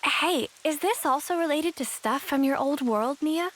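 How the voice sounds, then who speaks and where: A woman's voice: high voice, moderate pace, close to an everyday voice. An actress voicing a plain-spoken, earnest young female fighter in a video game, with a casual, blunt way of talking. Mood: casual, curious. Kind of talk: scripted video game cutscene dialogue.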